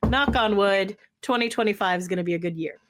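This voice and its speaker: Woman, 40s, podcast co-host, casual chatty storyteller, mid-pitched. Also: fairly quick. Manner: chatty, animated storytelling with acted-out quotes. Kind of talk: casual chat.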